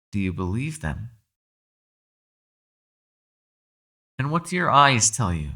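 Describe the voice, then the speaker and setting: A man's voice: medium pitch, sharp tone, heavily acted. A man playing a captive kitsune, a sly and menacing fox spirit, with silky, taunting, mock-polite delivery in an ASMR roleplay. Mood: amused, teasing.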